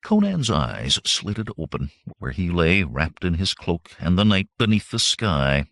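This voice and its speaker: A man's voice: deep voice, big pitch swings, a little slow, gravelly, fairly bright tone, no echo, studio quality. A man reading fantasy adventure fiction as a dramatic audiobook narrator.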